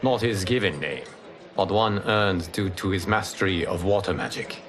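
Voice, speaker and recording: An actor playing an ancient, wise and composed mentor god in a video game, speaking in measured, formal explanations. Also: male voice, low-pitched, crowd noise, close to an everyday voice. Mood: calm. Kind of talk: video game cinematic acting. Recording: music behind the voice.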